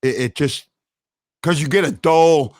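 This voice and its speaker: A man hosting a fan talk show, loud and excitable, rambling and restarting his sentences as he argues his point. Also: on the high side, unhurried. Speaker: podcast host. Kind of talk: heated hockey fan call-in talk. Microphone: headphones.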